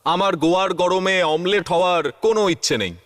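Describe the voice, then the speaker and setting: A man's voice: sits high, steady tone, fast, noisy background, close to an everyday voice. A man voicing a middle-aged cartoon father who grumbles and is easily swayed, blustery with exaggerated reactions. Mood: exasperated. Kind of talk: cartoon dub acting.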